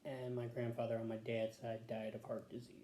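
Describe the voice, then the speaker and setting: A man answering health questions as a patient, casual and matter-of-fact, pausing to think in short answers. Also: medium pitch, monotone, warm tone. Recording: echoey room with faint background noise.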